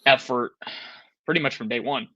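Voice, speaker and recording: A man's voice: medium pitch, normal speed, sharp tone, no echo, poor audio. A man in his thirties co-hosting a sports talk show, rambling with restarts and frustrated. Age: 30s. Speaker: podcast co-host.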